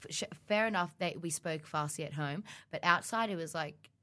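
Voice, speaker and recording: A woman's voice: low voice, fairly quick. A young woman, a student co-hosting a podcast, talking casually in a giggly, rambling way. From Australia. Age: young adult. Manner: giggly, rambling, animated storytelling. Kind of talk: casual chat.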